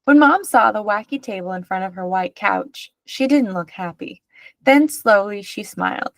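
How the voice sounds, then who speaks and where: A woman reading a children's picture book aloud as an expressive narrator. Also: mid-range voice, moderate pace, no room sound.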